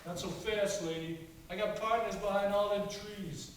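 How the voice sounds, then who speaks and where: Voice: medium pitch, fairly even intonation, normal speed, natural voice. An actor playing a sly, uneducated crook in a radio play, talking in folksy, ungrammatical tough talk. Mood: wheedling, menacing. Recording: roomy far-off mic, hissy echoey room.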